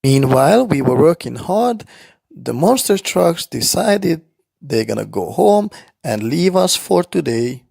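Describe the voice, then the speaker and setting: A man's voice: a little high, very animated, measured pace, vocal fry, a little mellow, slightly rough, no room sound. A man narrating a toy-play bedtime story for young children, explaining things simply as he goes.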